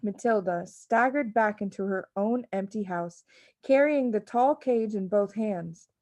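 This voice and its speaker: A woman reading a children's story as an expressive audiobook narrator. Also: medium pitch, unhurried, no room sound. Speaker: audiobook reader.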